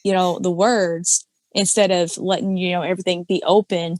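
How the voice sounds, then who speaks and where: Low-voiced woman with a dry close sound. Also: flat delivery, moderate pace, a little mellow, no echo. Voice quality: low alto voice. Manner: many fillers and restarts, explains with examples. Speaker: podcast guest, karaoke singer and judge. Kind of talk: casual chat.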